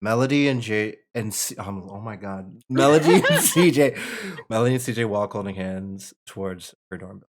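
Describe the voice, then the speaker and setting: A man's voice: lowish voice, animated, moderate pace. A man narrating stage directions at a table read in an informal, conversational way. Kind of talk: reading screenplay stage directions aloud, with casual asides.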